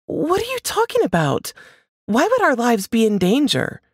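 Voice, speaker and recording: A woman's voice: on the high side, huge pitch swings, normal speed, slightly rough, dry recording, studio quality, voice pushed up, full character voice. A woman voicing an insistent, startled wife in an audiobook suspense story, full of questions and exclamations. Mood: alarmed. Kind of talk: audiobook character dialogue.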